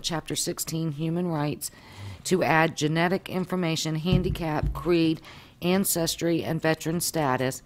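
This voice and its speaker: A clerk at a city commission meeting reads the title of an ordinance aloud in a steady, formal manner. The voice sits mid-range. Faint background noise runs under the reading. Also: mid-range voice, low-quality recording.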